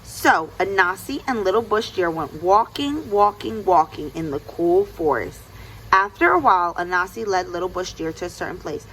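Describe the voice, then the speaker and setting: A woman narrating a children's story aloud in an expressive storytelling style. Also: fairly low pitch, normal speed, slight background noise, rough recording. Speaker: storybook reader.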